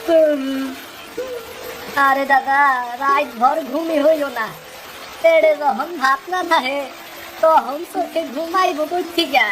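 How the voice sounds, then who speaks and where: A woman's voice: high-pitched, slow, long pauses, fairly bright tone, a little hiss or hum. A woman voicing a poor, bitter young fisherman with an East Bengali accent, complaining in a plaintive way. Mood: weary, complaining.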